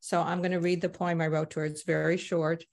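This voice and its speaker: A woman's voice: fairly low pitch, flat delivery, a little fast, darkish tone. A woman on a video call, nervous and emotional, introducing and reading a short poem of her own. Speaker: guest speaker.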